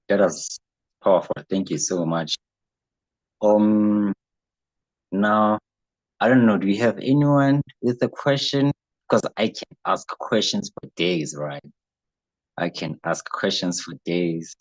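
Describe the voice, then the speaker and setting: Very deep, slow male session host. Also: dry recording, rough recording.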